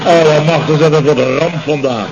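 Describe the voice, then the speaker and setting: A man's voice: a little high, fairly even intonation, fairly quick, vocal fry. A man playing an exasperated, sarcastic building-firm boss in a radio comedy, blustering and incredulous. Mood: agitated. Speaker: comedy actor. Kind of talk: 1970s Dutch radio comedy acting. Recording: muffled, noisy old radio recording.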